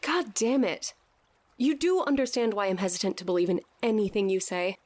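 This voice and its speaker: A woman's voice: medium pitch, normal speed, a little mellow, no room sound, close to an everyday voice. Dry-miked, wisecracking sceptical young woman, played by an actress. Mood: incredulous. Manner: incredulous, colloquial, joking. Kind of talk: scripted audio drama acting.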